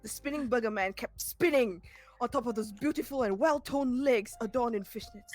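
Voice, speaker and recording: A woman's voice: fairly high pitch, normal speed, soft background music. A woman with a Singaporean accent doing a dramatic comic reading on a live stream, giggly, sweary and often breaking up mid-sentence. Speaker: reader on a live fan fiction stream. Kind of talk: dramatic comedic reading and casual banter.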